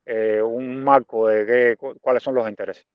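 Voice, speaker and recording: A Cuban man of forty-five giving reflective interview answers haltingly, repeating phrases as he searches for words. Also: medium pitch, fairly even intonation, smooth, dry recording, dull audio. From Cuba. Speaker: interview guest, visual artist and art teacher.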